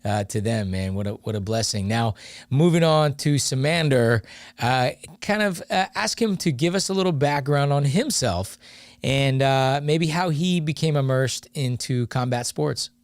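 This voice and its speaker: A male host with a smooth, clear voice and a dry, close sound. He talks with enthusiasm and often stumbles and restarts mid-sentence. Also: medium pitch, expressive, a little slow, no echo. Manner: enthusiastic, repeats words, restarts. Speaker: interview host. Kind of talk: casual interview.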